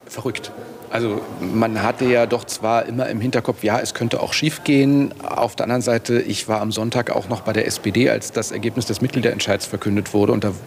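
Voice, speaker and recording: A middle-aged man, a political reporter, giving a live on-air interview in a halting, reflective way with repeated words. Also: lowish voice, fairly even intonation. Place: large indoor parliament foyer. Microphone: handheld broadcast microphone. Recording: clear background noise of busy hall.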